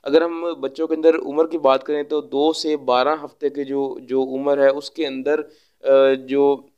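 A man giving an explanatory veterinary lecture, speaking instructively with frequent hesitant pauses. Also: mid-range voice, flat delivery, a little mellow, smooth, highs cut off.

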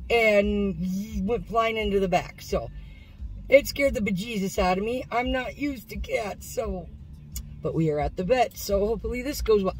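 A woman's voice: fairly high pitch, low-quality recording. A middle-aged woman with an American accent chatting informally and folksily to viewers as she vlogs from inside a car. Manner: informal, folksy, self-narrating. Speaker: vlogger. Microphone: phone or camera on the dashboard.

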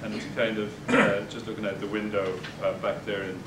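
An older man giving a poetry reading in a bookstore, hesitant and halting between poems, recorded from a distance. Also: level tone. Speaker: poet. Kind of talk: poetry reading with spoken asides. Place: bookstore, indoors, at a podium. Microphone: distant camera mic. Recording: echoey room, distant mic, background rumble.

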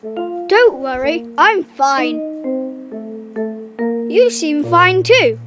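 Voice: high-pitched, very animated, extremely slow, over music, heavily acted. An actor voicing a friendly, confident young beach ball in a preschool cartoon, upbeat, childlike and easygoing.

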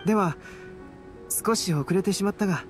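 A man's voice: high voice, moderate pace, lightly acted. Man playing a composed, thoughtful young scholar. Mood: calm. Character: calm, cerebral young male scholar. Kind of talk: Japanese anime game voice acting. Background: background game music.

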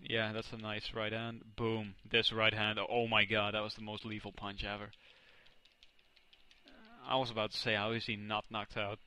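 A young man from the Netherlands with a Dutch accent, casually commentating his own video game match, excitable and often correcting himself. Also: on the low side, moderate pace, clean tone. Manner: excitable, self-correcting, reacts loudly to plays. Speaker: gaming commentator. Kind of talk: casual let's play commentary.

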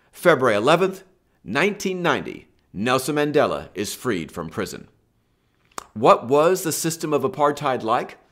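An older man presenting a history lesson in scripted narration from a room at home, in a measured, expressive storytelling manner. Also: medium pitch. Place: home room with bookshelf and lamp.

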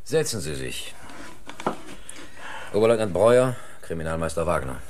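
An actor playing a stern, authoritative prison colonel in a German radio crime drama. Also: male voice, low-pitched, very animated, a little slow, darkish tone, a little hiss or hum. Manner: authoritative, formal. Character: male prison colonel, stern, commanding official.

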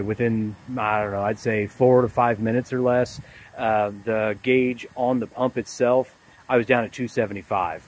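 A man in his forties talking casually and explaining things to the camera like a working technician. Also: on the low side. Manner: conversational, explanatory. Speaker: HVAC technician. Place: outdoors in a yard beside an air conditioning unit. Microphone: phone at arm's length. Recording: outdoor phone recording with background noise.

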